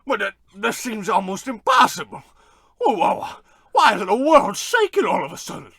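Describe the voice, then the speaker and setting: An actor playing a tough, dim but loyal goon, melodramatic and prone to bewildered stammering, in an old-time radio comedy. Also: male voice, high-pitched, wildly swooping pitch, normal speed, bright, forceful tone, no echo, studio quality, heavily acted. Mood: bewildered, alarmed.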